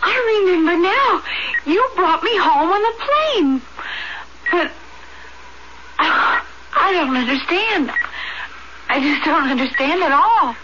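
A woman's voice: very high voice, lively intonation, slow, long pauses, heavily acted. An actress playing a dazed, wistful and trusting young woman in hospital, in an old-fashioned radio drama. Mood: amazed. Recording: dull, very noisy radio broadcast tape.

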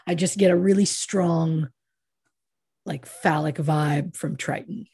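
A woman co-hosting a podcast, chatting casually and giving short, deadpan reactions to a story. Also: sits low, slow pace, vocal fry.

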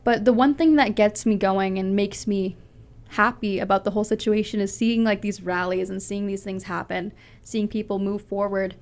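A woman's voice: medium pitch, fairly even intonation, moderate pace, a little mellow. A young woman from Canada, a public speaker answering interview questions in an earnest, passionate way with a big voice. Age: young adult.

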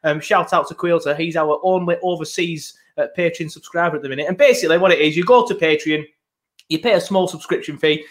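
Higher-pitched British man, matey podcast host. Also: fast talker. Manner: rambling, matey. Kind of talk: casual chat.

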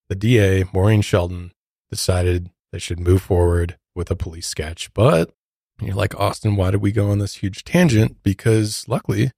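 A man's voice: low voice, creaky, a little mellow, no room sound, clean studio sound. A man co-hosting a true-crime podcast, talking through cases in a discussion style. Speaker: podcast co-host.

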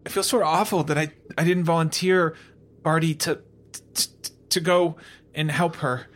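A woman's voice: low-pitched, fairly even intonation, normal speed, a little hiss or hum, lightly acted. A woman playing a work-shy, anxious space crew member in an improvised sci-fi comedy, stammering and evasive.

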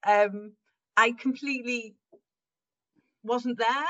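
A woman's voice: on the high side, steady tone, slow, fairly bright tone, highs cut off. A woman from Wales chatting casually in an interview, laughing often and restarting her sentences as she talks. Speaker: guest improviser.

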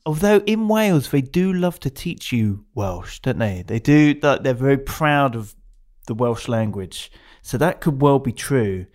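A man hosting a casual interview, chatty and playful, with quick asides. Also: medium pitch, big pitch swings, a little mellow. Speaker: podcast host.

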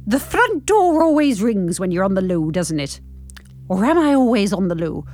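A woman playing a grumpy, impatient, sardonic older woman, telling her story in the first person in a wry, expressive way. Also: medium pitch, normal speed, soft background music, close to an everyday voice.

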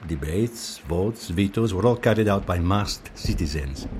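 Deep-voiced Italian masked mask maker. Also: male voice, animated, measured pace, background noise. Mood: proud, beguiling. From Venice, Italy. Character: enigmatic masked Venetian mask maker, courteous, proud, faintly menacing. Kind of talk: audio drama acting.

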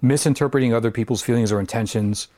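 A man in his forties talks quickly in an interview. He answers plainly and modestly, without fuss. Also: mid-range voice, crowd noise. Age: 40s. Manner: matter-of-fact, modest.

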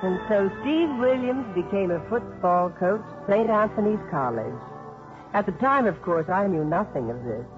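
A prim, composed female court officer in a 1950s radio drama, played by an actress. She narrates with poise. The old recording is dull, with clear music behind her. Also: medium pitch, lively intonation, moderate pace, natural voice.

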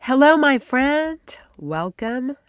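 A woman's voice: high-pitched, big pitch swings, takes it slowly, clear, resonant tone, no echo, studio quality. A middle-aged woman with a stuffy nose from allergies, hosting her podcast in a chatty, folksy way. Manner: chatty, folksy, with many fillers like "I mean" and "you know". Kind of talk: casual podcast chat.

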